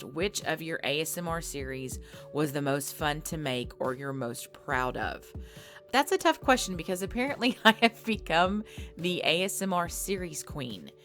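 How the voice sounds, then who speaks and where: Woman, very low voice, soft thoughtful chat, faint music under. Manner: soft, thoughtful, with fillers and restarts. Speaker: ASMR creator answering viewer questions. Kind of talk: casual Q&A talk.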